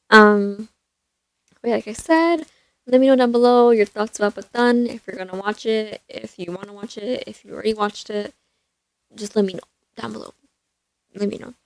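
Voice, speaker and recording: A young woman with a soft voice chatting casually about a film, rambling with lots of fillers and restarts. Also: on the high side, moderate pace, pauses often, dry recording. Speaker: vlogger reviewing a film. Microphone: stand mic.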